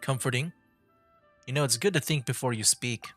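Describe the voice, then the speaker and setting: Guy vtuber streaming a game, chill rambly commentary, average pitch, soft music in back. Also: normal speed. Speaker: livestream gamer, VTuber. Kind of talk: casual game stream commentary, reading on-screen text aloud.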